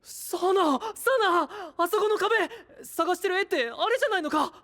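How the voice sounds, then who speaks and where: A man's voice: extremely high pitch, animated, moderate pace, sharp tone, no echo, clean studio sound, exaggerated voice. A man voicing an earnest, kind, straightforward young hero knight in an anime-style voice drama, youthful and sincere. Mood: eager, surprised. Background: background music.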